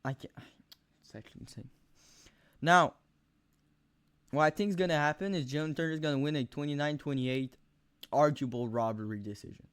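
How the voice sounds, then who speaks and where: A young man with a thick Quebec French accent rambles through his fight picks, swearing freely and filling gaps with ums and likes. His voice is bright and clean. The recording is dry and close, with no room sound. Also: on the high side, fairly quick, pauses often, clean tone, dry recording. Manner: rambling, frequent swearing and fillers, animated outbursts. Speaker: MMA video host. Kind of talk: casual profane fight predictions vlog. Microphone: camera mic, close.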